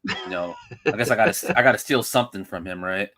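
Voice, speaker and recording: An easygoing man joins a livestream and chats casually. His voice is low-pitched and bright. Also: fast talker, a little hiss or hum. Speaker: livestream co-host.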